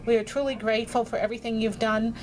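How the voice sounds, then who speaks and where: A woman's voice: a little high, fairly even intonation, quick pace, a little mellow, faint music behind, poor audio. A woman conducting an informal interview, asking questions in an attentive, appreciative manner.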